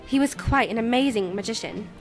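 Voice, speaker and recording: A wistful, troubled magician's assistant played by a woman speaks in a fairly high voice, hesitant and earnest. It sounds like a noir radio drama client, with music underneath. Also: brisk, close to an everyday voice. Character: troubled, wistful young female magician's assistant seeking help.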